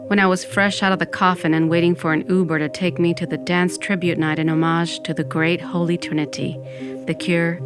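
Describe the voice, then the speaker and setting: Woman acting a wry undead goth narrator, lowish voice. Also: natural voice. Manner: wry, dramatic storytelling. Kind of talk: first-person comic audio fiction narration.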